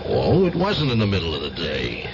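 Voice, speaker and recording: A probing cop, deliberate but very up n down, old radio drama, noisy. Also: male voice, low-pitched, wildly swooping pitch, highs cut off, full character voice. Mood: probing, measured. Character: methodical, probing male police lieutenant questioning a suspect.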